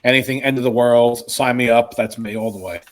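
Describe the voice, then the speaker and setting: A man with a mid-pitched voice talks casually as one of a podcast panel. His delivery is informal and enthusiastic. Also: steady tone, fairly quick. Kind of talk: casual chat.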